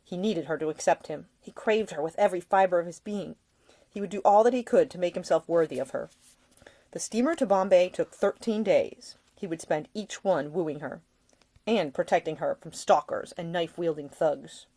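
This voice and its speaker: A woman reading a novel aloud as its author, in expressive scripted narration. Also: on the low side, normal speed. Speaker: author reading own audiobook.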